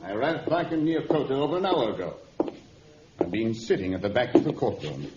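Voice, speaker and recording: An actor playing a grave, courteous sea captain, formal and deliberate, in a vintage radio adventure serial. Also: male voice, mid-range voice, animated, close to an everyday voice. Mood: composed, grave. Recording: muffled, faintly noisy old radio recording.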